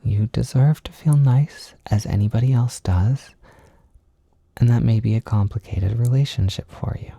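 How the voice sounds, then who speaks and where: A man giving an intimate, informal comfort monologue, speaking in a gentle, reassuring but hesitant and self-deprecating way. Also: mid-range voice, moderate pace, vocal fry, husky, no echo, studio quality. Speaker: audio creator giving comfort and validation.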